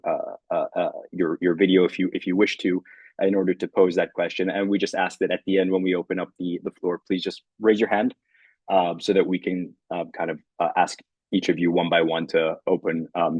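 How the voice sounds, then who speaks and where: A man's voice: low-pitched, darkish tone, husky, no room sound, muffled recording. A man hosting a live online Q and A, talking in a hesitant, rambling way. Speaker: host.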